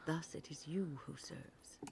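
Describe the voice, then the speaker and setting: A man's voice: fairly high pitch, steady tone, creaky, whispered. Solemn wise male mentor. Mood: solemn, earnest. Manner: measured, solemn, lecturing. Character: wise, earnest mentor in a fantasy game. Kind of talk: scripted video game dialogue.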